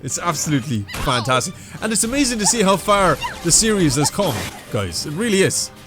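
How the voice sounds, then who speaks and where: A man's voice: high voice, very animated, brisk. A man with a slight cold giving casual, enthusiastic reaction commentary as a video host. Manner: enthusiastic, informal, admiring. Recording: music from watched video behind. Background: music.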